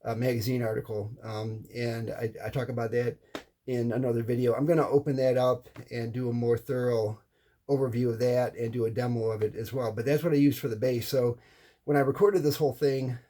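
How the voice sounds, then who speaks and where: Man, middle-aged or older, American accent, warm dark tone, medium pitch, informal explanation, halting restarts. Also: fairly even intonation. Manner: halting, with repeated words and false starts. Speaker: hobbyist presenter. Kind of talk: informal unscripted explanation to camera.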